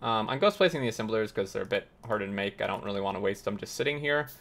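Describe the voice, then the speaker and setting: A man hosting a gaming tutorial, slightly raspy as he loses his voice, explaining step by step while thinking aloud with many uhs. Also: lowish voice, expressive, racing, a bit bright. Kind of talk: explaining gameplay while playing.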